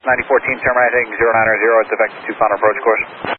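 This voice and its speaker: A man's voice: fairly low pitch, flat delivery, very fast. A man working as an air traffic controller, giving procedural instructions in clipped phrases over a radio. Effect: radio transmission. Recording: tinny narrow-band radio, loud static noise.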